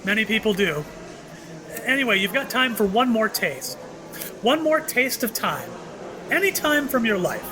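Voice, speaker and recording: A man's voice: high-pitched, big pitch swings, moderate pace, pauses often, a crowd in the background. A man acting in an audio play as a mysterious, courteous and apologetic shopkeeper who speaks hesitantly. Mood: genial, wry. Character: mysterious, apologetic male shopkeeper offering tastes of time. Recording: clearly noisy background.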